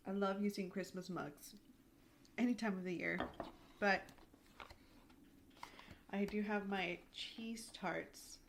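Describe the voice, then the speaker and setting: A woman in her thirties chatting casually at home in a rambling vlog, full of "like" fillers, her throat a little raspy. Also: medium pitch, takes it slowly, pauses often, a little hiss or hum. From United States. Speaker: lifestyle vlogger. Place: home, indoors.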